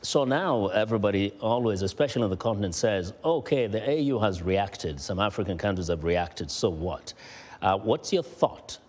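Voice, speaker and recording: Low-voiced male news interviewer, reverberant. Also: animated, moderate pace, darkish tone. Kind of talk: broadcast interview, conversational questioning.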